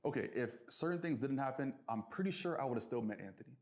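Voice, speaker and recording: A man talking casually and reflectively as an interview guest, earnest and halting, circling back over his phrases. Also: medium pitch, lively intonation, darkish tone. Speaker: interview guest, business entrepreneur. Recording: dull lo-fi audio.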